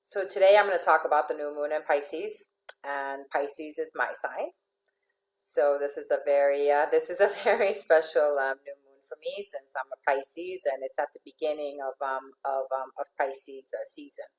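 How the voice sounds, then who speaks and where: Low-voiced chatty woman coach. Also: moderate pace, a little mellow, low-quality recording. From Finland, raised in Venezuela. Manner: chatty with many fillers and restarts, laughs often. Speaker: astrological life coach. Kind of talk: informal talk to an online audience.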